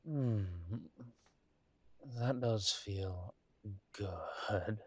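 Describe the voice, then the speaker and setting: A man acts out a tender, tired male werewolf detective in a roleplay audio drama. He speaks slowly in a fairly deep voice, coaxing softly with thoughtful pauses. The sound is close and dry. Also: no room sound. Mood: relaxed, weary. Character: affectionate, world-weary werewolf detective, male, coaxing his partner.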